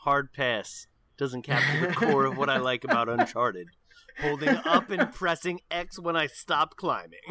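A man's voice: mid-range voice, animated, unhurried, pushed and bright. A man co-hosting a casual podcast, chatting with dry jokes. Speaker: podcast co-host. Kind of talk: casual chat.

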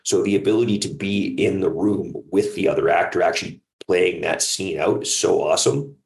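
A man's voice: low-pitched, moderate pace, vocal fry, poor audio. A man, a voice actor appearing as a podcast guest, talking casually and often checking for agreement as he goes. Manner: conversational, seeks agreement with "right?". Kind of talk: casual interview chat.

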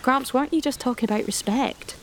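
An actress playing an outspoken teenage great-granddaughter in an audio drama, with casual, blunt, questioning speech. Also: female voice, high voice, fairly quick, a little hiss or hum. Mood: indignant. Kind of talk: naturalistic audio drama acting.

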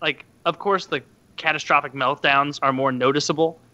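Man, bright sharp voice, blunt and sweary, dull lo-fi call audio. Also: fairly high pitch, steady tone, moderate pace. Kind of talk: casual chat.

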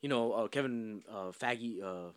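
A man hosting a podcast, chatting casually about films, animated and joking with plenty of swearing. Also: fairly low pitch, unhurried, smooth. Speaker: podcast host. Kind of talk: casual chat.

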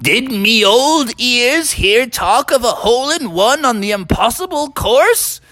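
An old, wise rhinoceros from a kids' story, acted out by a man. He talks like a quaint, jolly old-timer. The voice is pushed up high and swings about merrily. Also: animated, measured pace, pushed and bright, no echo, full character voice. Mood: jolly, proud.

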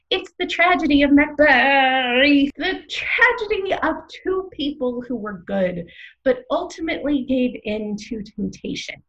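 Casting: actress as opinionated theatre pundit, female, highish creaky voice, rhetorical and emphatic, slightly muffled sound. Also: full character voice. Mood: impassioned. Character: opinionated, theatrical woman co-hosting a casting-odds show. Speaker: co-host of a theatre prediction show. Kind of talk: scripted podcast banter and commentary.

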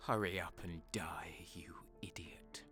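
A man playing a cold, sadistic soldier who narrates a dark war story with dramatic deliberation. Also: low voice, steady tone, takes it slowly, soft background music, exaggerated voice. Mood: sneering whisper. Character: cold-hearted, sadistic young male soldier turned traitor. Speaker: narrator and lead character.

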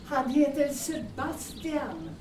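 A woman's voice: sits high, sing-song, darkish tone. A woman playing a chatty, kindly older mother in an audio drama, rambling and repeating her questions. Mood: chatty, proud. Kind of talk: naturalistic audio drama acting. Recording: echoey, distant, noisy with birds.

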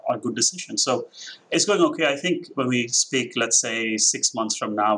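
A man in his forties with an Indian accent softened by years abroad, answering podcast questions about his business. His voice sits low and slightly husky, and he thinks out loud with frequent uh's and restarts. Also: rough recording. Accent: Indian, with a mix of international influences. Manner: measured, thoughtful, with frequent uh fillers and restarts. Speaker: company division president. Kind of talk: corporate podcast interview.

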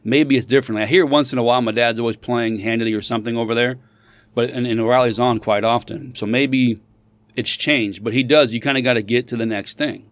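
A man's voice: mid-range voice, fairly even intonation, quick pace, no echo. A man co-hosting a casual podcast chat, easygoing and steering the talk along to the next topic. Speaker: podcast co-host.

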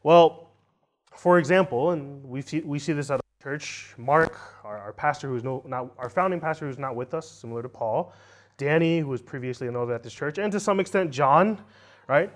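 A man in his thirties gives a church sermon in a slightly high voice. He keeps it conversational, checking in with "right?" and circling back to repeat key points. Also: moderate pace. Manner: conversational, often tags lines with "right?"; restarts and repeats phrases for emphasis. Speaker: preacher.

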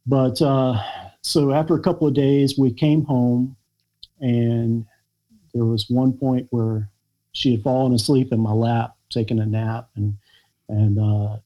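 An American man, a podcast guest, telling a personal family story in a halting, reflective way with many pauses and fillers. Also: mid-range voice, flat delivery, highs cut off. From United States. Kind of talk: podcast interview conversation.

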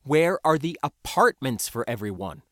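Man playing an exasperated, animated spacer outsider. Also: mid-range voice, normal speed, dry recording, studio quality. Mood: impatient. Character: off-world spacer, incredulous, impatient, blunt outsider.

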